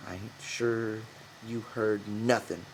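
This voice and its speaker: A man's voice: on the low side, fairly even intonation, slow pace, gravelly, a little hiss or hum, rough recording. A man voicing a scornful, menacing young ranch wife in an audiobook, taunting in rural vernacular. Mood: cold. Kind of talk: audiobook character voice.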